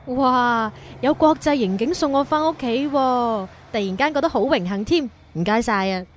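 A woman's voice: fairly high pitch, moderate pace, lightly acted. A woman playing a sweet, teasing young museum worker in a radio romance, playful and coy. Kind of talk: romantic radio drama dialogue.

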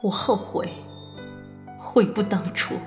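Slow echoing regretful dying woman, acted. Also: mid-range voice, a little mellow, lightly acted. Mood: regretful, sorrowful. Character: dying woman full of regret for lost love. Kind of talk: slow dramatic letter monologue. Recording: echoey long reverb under music.

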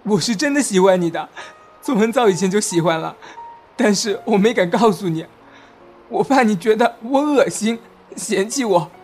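Male actor as shy young lover, soft earnest voice, hesitant, insecure, romantic radio play, music underneath. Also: high-pitched, lively intonation, moderate pace. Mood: hurt, resigned. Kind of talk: Chinese audio drama acting with inner narration. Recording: music behind.

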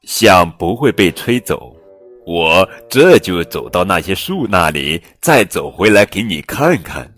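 A man's voice: low-pitched, sing-song, measured pace, fairly bright tone, soft background music, heavily acted. A man voicing a playful, mischievous patchwork elephant in a children's storybook, chuckling as he talks. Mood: insistent.

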